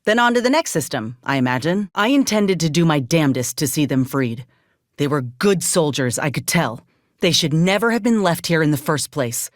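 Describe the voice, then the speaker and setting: Low, lively, folksy frontier ex-soldier. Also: female voice, normal speed, no room sound, studio quality. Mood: wry, then righteous, earnest. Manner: folksy frontier drawl phrasing, plainspoken. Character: plucky, principled female ex-soldier freelancer in a space-frontier world. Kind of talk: video game character acting.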